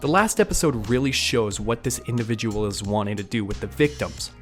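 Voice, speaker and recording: A man's voice: on the low side, brisk. A man hosting an online video, giving scripted breakdown narration with casual touches. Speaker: YouTube host and narrator. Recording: voice-over with music underneath. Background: music.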